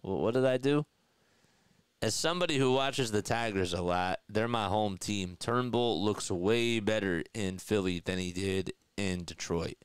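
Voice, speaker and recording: A man's voice: lowish voice, a little slow, clean tone, dry recording. A man in his thirties with a Philadelphia accent, calling a baseball game live on a headset mic and chatting with viewers. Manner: chatty, laughs often, reads viewer comments aloud. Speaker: play-by-play livestream host. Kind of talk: live baseball commentary with chat reading. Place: small indoor room in front of a desk setup. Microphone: headset with boom mic.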